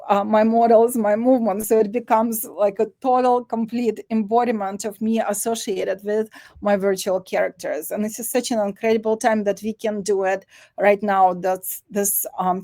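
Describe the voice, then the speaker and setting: A woman artist presenting her work at an online demo day, speaking reflectively with many pauses and fillers. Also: on the high side, mellow, dry recording.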